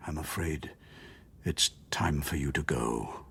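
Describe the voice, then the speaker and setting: An actor playing a wistful old shopkeeper in a radio drama, husky and measured. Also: male voice, a bass voice, bright, forceful tone, heavily acted. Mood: gently wistful. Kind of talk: British radio drama acting.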